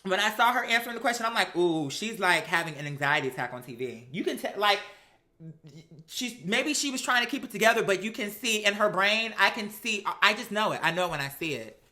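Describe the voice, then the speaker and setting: Bright-voiced, sassy gossip commentator, a woman. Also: medium pitch, fairly quick. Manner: sassy, animated, slangy and profane, acts out quotes mockingly. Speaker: host of a live commentary show. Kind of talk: casual gossip commentary on reality TV.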